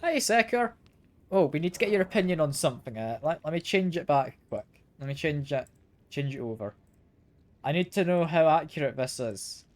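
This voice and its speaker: A man's voice: a little high, expressive, moderate pace. A man streaming a video game, slightly ill and congested, chatting casually and often repeating words as he plays. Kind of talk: casual gameplay commentary. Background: game music.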